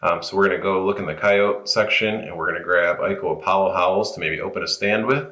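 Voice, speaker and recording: A man's voice: low voice, steady tone, fairly quick, sharp tone. A man presenting an informal, unscripted app walkthrough, explaining each step as he goes in a roomy space. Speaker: product tutorial presenter.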